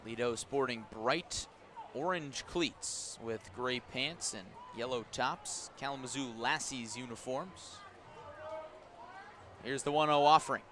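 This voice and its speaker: A man doing live radio play-by-play of a baseball game, descriptive and unhurried between pitches and punchier on the action. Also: background noise. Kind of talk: live radio sports commentary.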